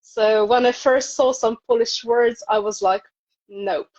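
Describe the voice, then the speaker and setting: A woman's voice: on the high side, measured pace, a little mellow. A woman in her thirties from Ukraine, a native Ukrainian speaker with a Ukrainian accent, chatting casually as an interview guest on a video call. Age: 30s. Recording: dull, lo-fi video live stream.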